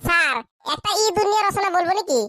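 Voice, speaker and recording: A woman's voice: extremely high pitch, fast talker, bright, forceful tone, dry recording, studio quality. A woman voicing a meek, naive and cheeky schoolboy answering in a comic cartoon. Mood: earnest, naive. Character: cheeky, dim young schoolboy pupils, meek before teacher.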